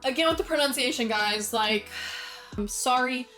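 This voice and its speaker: A young woman talks through design work in a loose, chatty way, dropping in fillers and poking fun at herself. Her voice sits a bit high, and faint music plays underneath. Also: normal speed, sharp tone. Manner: chatty, many fillers, self-deprecating asides. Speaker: design vlogger. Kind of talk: casual commentary on brand design.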